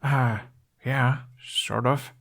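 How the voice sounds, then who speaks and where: A man's voice: medium pitch, vocal fry, pitched above the performer's own voice, full character voice. Man voicing an awkward, dry-witted young prince. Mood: enthusiastic, halting. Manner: hesitant, halting, deadpan.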